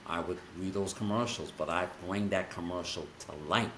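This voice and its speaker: A man with a very low voice hosts an interview in a measured way, drawing on his own radio days. His voice echoes around the room. A faint noise sits under the recording. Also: fairly even intonation, a bit bright. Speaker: podcast host. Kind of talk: interview, reminiscing.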